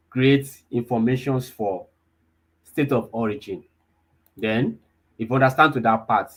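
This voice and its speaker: Husky man teaching online. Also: medium pitch, low-quality recording. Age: 20s. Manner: repetitive, checks in with listeners often. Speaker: data analysis instructor. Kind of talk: live online class.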